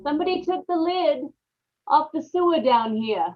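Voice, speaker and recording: An actress playing a guilt-haunted, murderous young wife in a brooding, confessional old-time radio horror monologue. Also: female voice, sits high, lively intonation, normal speed, highs cut off, faint music behind. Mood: sly. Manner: dramatic, brooding confessional with repeated phrases.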